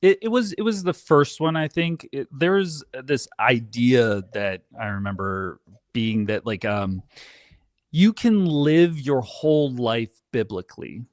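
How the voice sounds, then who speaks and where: A man speaks as an interview guest, sharing personal stories in a casual, conversational style. His delivery is animated and emphatic, often cutting into his own sentences. The sound is dry, with very little room in it. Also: mid-range voice, slow, no room sound. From Canada. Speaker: podcast guest.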